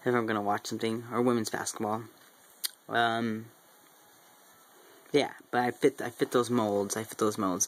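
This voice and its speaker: A man's voice: lowish voice, fairly bright tone, low-quality recording. A young man from Central Texas with a faint Texan accent, a regular vlogger talking casually to camera, rambling with lots of ums and restarts. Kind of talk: casual vlog monologue.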